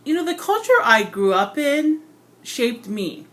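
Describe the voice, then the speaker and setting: A woman from Hawaii giving a prepared personal speech for a class, earnest and reflective, pausing and correcting herself now and then. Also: a little high, big pitch swings.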